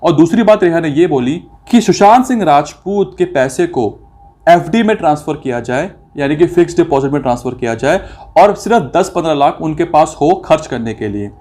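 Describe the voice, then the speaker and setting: Indignant man commentator, slightly high voice. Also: expressive, fairly quick, mellow. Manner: indignant, accusatory, rhetorical questions; mixes English phrases into speech. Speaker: video commentator. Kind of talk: opinionated commentary on a recording.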